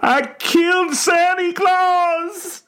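A man's voice: very high voice, fairly even intonation, fairly quick, darkish tone, pitched above the performer's own voice. A man voicing a frantic, sobbing old derelict in an audiobook story, stammering and blubbering in rustic, folksy speech. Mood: anguished confession.

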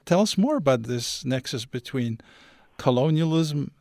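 A man's voice: medium pitch, lively intonation, normal speed, darkish tone, no echo. A man from Algeria interviewing a guest, asking long, detailed questions in formal phrasing.

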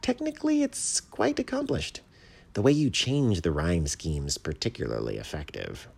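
A man's voice: deep voice, big pitch swings, moderate pace, gravelly, a little mellow, breathy edge, exaggerated voice. A man voicing a self-important but courteous mockingbird, a pedantic poetry critic in a storybook. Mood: approving, pedantic. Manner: pompous, polite, pedantic.

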